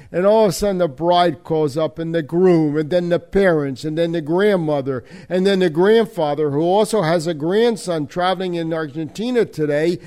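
A man's voice: fairly high pitch, warm tone. A man in his sixties with an American accent, a retired weatherman answering interview questions in a hesitant, self-deprecating way. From United States. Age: 60s.

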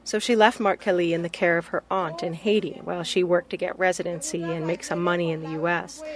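A woman reporter narrating a radio news story in a calm, steady storytelling manner. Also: on the low side, brisk, a crowd in the background.

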